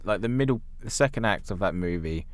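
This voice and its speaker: A man's voice: on the low side, animated, a little fast, smooth, no room sound. A man co-hosting a podcast, giving blunt, critical opinions in a casual film review chat. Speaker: podcast co-host.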